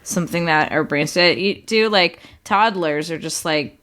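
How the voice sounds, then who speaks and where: Chatty woman co-host, lowish voice. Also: unhurried, a bit bright. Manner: chatty, rambling, laughs often. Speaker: podcast co-host. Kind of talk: casual chat.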